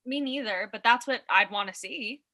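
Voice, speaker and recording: A woman's voice: on the high side, normal speed, bright, forceful tone. A woman playing a blunt, reluctant skeptic in a staged reading of a play. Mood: reluctant, skeptical.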